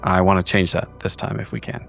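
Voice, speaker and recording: A man's voice: low-pitched, flat delivery, fairly quick, vocal fry, faint music behind. A man playing in a tabletop game, talking through his plans in a casual way. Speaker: player.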